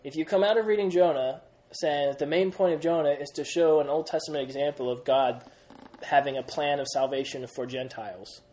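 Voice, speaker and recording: Lively young man teaching a Bible class. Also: a little high. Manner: energetic, many restarts, quizzes the group. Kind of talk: classroom Bible lesson with questions.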